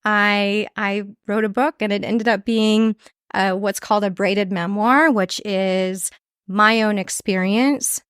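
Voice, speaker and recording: A woman from Montana, a guest author telling her story in a measured, descriptive way. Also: medium pitch, fairly even intonation, a bit bright, clean tone, dry recording, clean studio sound. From Montana, USA. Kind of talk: interview storytelling.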